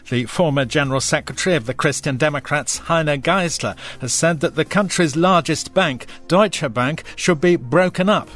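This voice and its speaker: A man's voice: fairly high pitch, a bit bright. A man presenting radio news in a scripted reading style.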